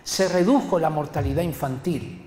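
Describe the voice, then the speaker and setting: An older man from Argentina, preaching a sermon in an emphatic, deliberate manner and addressing his listeners directly. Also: on the high side, a little mellow. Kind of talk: sermon to a congregation. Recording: echoey hall with long reverb.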